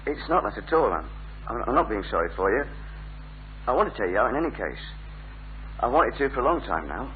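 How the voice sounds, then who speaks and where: A man's voice: medium pitch, very animated, a little fast, pauses often, natural voice. Man acting a shy lad, muffled old recording. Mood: awkward, earnest. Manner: hesitant, stammering when pressed. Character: shy, awkward, reluctant young northern lad. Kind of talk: 1970s British radio sitcom acting. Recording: muffled phone-like old radio recording, faint hum.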